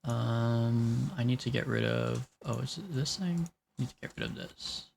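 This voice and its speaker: Gravelly-voiced young man chatting on stream. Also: medium pitch, normal speed, a little mellow, slight background noise. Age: 20s. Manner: rambling, chatty, laughs often, talks to chat. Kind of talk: casual live-stream chat while drawing.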